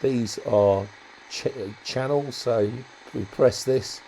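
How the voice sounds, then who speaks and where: A man giving a casual, unscripted walkthrough as a hobbyist presenter, thinking aloud in a halting way. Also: medium pitch, mellow, a little hiss or hum, poor audio. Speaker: hobbyist presenter demonstrating a modified radio.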